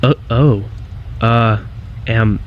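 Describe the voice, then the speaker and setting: A man's voice: mid-range voice, fairly even intonation. A man playing a frightened explorer of an abandoned building in found-footage horror, with a stammering, nervous way of talking. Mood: nervous, hesitant. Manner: halting, stammering, nervous questioning. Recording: muffled lo-fi footage, loud ambient noise.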